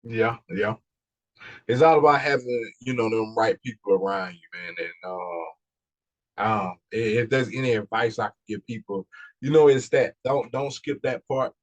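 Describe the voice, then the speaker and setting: Male podcast guest, business coach, lowish voice, earnest motivational talk with repeated phrases. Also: measured pace, highs cut off. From Mississippi, USA. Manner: earnest advice-giving, repeats phrases as he goes. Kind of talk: casual motivational interview talk.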